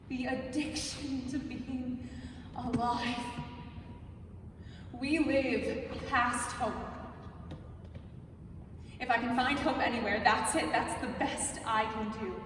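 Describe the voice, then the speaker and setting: A woman acts out a gravely ill thirty-year-old, anguished and defiant, in a theatrical monologue. The high voice swings from outcry to hushed pleading. It is captured from a distance in a noisy, heavily reverberant hall. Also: steady tone, takes it slowly, pauses often. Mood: anguished, resolute. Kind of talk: staged reading of dramatic monologue in a live performance. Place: large reverberant museum space, live, distant mic.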